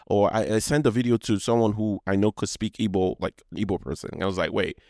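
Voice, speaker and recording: A man's voice: lowish voice, fairly even intonation, a little fast, darkish tone, no echo, clean studio sound. A man from Nigeria with a Yoruba accent, hosting a casual solo podcast in a rambling, conversational way that often doubles back mid-phrase. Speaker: podcast host.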